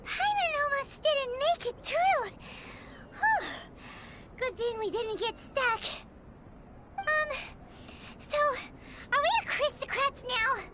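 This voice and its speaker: A woman voicing a tiny, chirpy, childlike fairy companion in an anime-style game, excitable and whiny with a squeaky voice. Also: slow, heavy background noise, heavily acted. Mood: relieved, hopeful. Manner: refers to self in third person, exclaiming.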